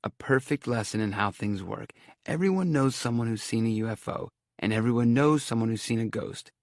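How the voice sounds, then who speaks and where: A man narrating a horror audiobook in first person, calm and reflective. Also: medium pitch, a little fast, dry recording, clean studio sound.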